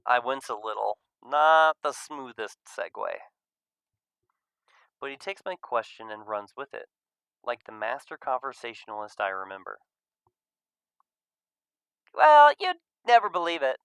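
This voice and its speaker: A man reading a visual novel aloud as an expressive first-person narrator. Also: on the low side, moderate pace, creaky, clear, resonant tone, no echo.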